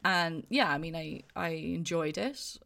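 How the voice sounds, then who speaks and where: An Irish woman co-hosting a podcast, chatting casually and thoughtfully as she reviews a film, often trailing off softly. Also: deep voice, expressive, a little slow, gravelly. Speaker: podcast co-host.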